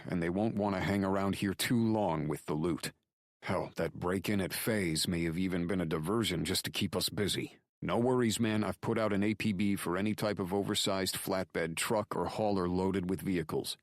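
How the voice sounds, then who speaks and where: A man voicing a firm, rough-talking lawman buddy reporting urgently over the phone in an audiobook. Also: low voice, normal speed, no room sound, studio quality, voice pushed up. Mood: urgent, gruff. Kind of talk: audiobook character dialogue.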